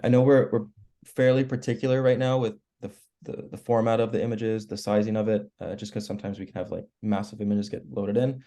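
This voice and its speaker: A hoarse man, losing his voice after a cold, walking colleagues through editing web pages in a relaxed, explanatory way on a video call. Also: lowish voice, a little fast. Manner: relaxed, explanatory, clears throat often. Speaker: web team member giving training. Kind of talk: screen-share walkthrough on a work call.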